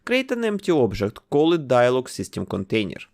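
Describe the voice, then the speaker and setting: Man narrating a software tutorial, lively pitch. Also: fairly quick. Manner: methodical, instructive, explains each step. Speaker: tutorial host.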